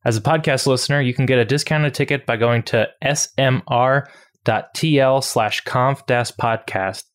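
Producer guy reading an announcement, friendly, mid pitch, scripted. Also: moderate pace, clear, resonant tone. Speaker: podcast producer.

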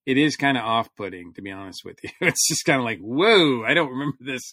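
A man's voice: medium pitch, expressive, fast talker, pushed and bright, no echo. A man on a podcast panel talking through a casual review, rambling a little as he thinks aloud.

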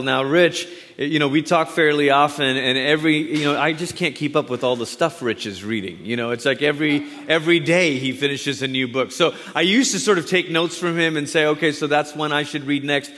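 A man from Malaysia, a guest preacher, opening his sermon in a warm, conversational way with chatty asides. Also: fairly high pitch, slight background noise. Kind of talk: sermon introduction. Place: large church sanctuary. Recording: long hall reverb.

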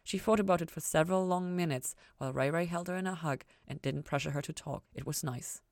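A woman narrates fiction from a script. Her voice is a little low, and the recording is dry, with very little room sound. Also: fast, darkish tone, no room sound. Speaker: podfic reader and podcast host.

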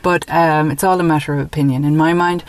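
Low-voiced Irish woman in conversation. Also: fairly even intonation, fast. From Dublin, Ireland. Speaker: interview guest, painter. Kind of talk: conversational interview, personal anecdotes.

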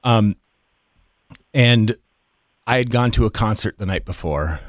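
A deep-voiced American man tells his own story as a podcast guest. He speaks in a measured, deliberate way with pauses, recorded dry and close. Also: no echo. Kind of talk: personal storytelling in conversation.

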